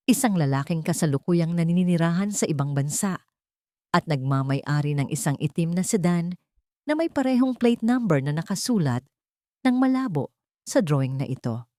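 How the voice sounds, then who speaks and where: A woman plays a relentless male attorney in an audio drama. The voice sits slightly low and is recorded very dry, with deliberate, formal, pressing delivery. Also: a little mellow, no room sound, lightly acted. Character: stern, relentless male attorney cross-examining a witness.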